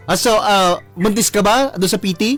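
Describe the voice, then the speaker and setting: A young man hosting a casual radio call-in show, teasing and full of expressive interjections. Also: high voice, moderate pace. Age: 20s. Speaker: radio host. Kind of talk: casual live radio call-in chat, reading listener comments. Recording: music bed behind. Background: faint background music.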